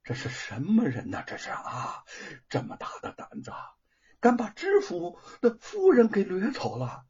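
A storyteller voicing a pompous, easily frightened middle-aged official with a husky voice. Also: medium pitch, huge pitch swings, measured pace, a little mellow, muffled recording, heavily acted. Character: panicked pompous middle-aged male prefect.

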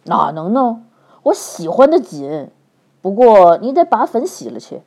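A woman voicing an impatient young swordsman who talks loudly and bluntly. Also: medium pitch, very animated, measured pace, slightly rough, exaggerated voice. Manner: loud and blunt.

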